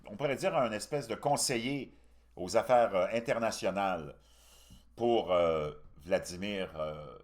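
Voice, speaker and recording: A man from Canada with a Québécois accent gives casual, opinionated political commentary as a host, speaking colloquially and emphatically. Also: mid-range voice. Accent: Québécois French.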